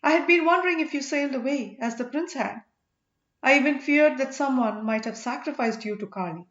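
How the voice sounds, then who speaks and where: A woman's voice: sits high, lively intonation, a little fast, fairly bright tone, voice pushed up. A woman voicing an eager, worried and devoted village wife in an audiobook, with breathless questioning. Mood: breathless, anxious. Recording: echoey room, dull lo-fi sound.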